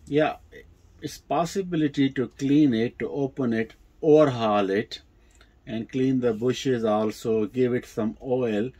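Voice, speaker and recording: A man with a non-native English accent giving a casual, unscripted demonstration in a halting, hesitant way with repeated words. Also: medium pitch, warm tone. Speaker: presenter of vintage audio equipment videos.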